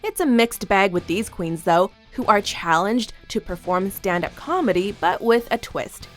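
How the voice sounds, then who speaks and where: A woman's voice: medium pitch, expressive, normal speed, faint music behind. Upbeat woman narrating a countdown. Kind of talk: scripted voice-over narration.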